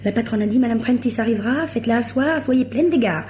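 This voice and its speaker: An actress playing a bossy, gossipy saleswoman at a couture house, brisk and scolding in a 1950s radio drama. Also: on the high side, darkish tone, slight background noise, close to an everyday voice. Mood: bossy chatter. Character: bossy, chatty woman working at a couture house. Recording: dull old radio archive.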